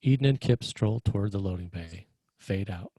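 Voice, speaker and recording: Man, fairly low voice, dry close sound, scripted narration, screenplay stage directions. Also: moderate pace, mellow, dry recording, poor audio.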